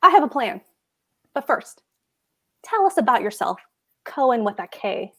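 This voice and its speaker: Bossy, boastful German landlord played by a woman, high and very animated, pompous stage-comedy delivery. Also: normal speed, creaky, full character voice. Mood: pompous, hearty. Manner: pompous, emphatic. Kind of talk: play table read.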